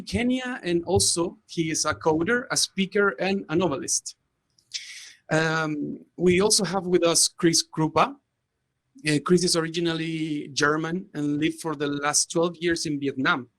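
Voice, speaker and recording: A man from Chile, moderating a panel in a formal, halting way that often repeats words. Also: a little high, a bit bright. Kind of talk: moderating an online panel discussion.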